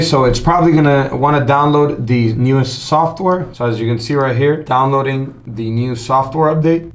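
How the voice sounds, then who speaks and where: A man hosting a tech tutorial, explaining the steps in a relaxed, repetitive way, recorded at a desk indoors. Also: medium pitch. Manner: relaxed, repetitive walkthrough with "gonna" and "all right". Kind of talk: casual step-by-step tutorial.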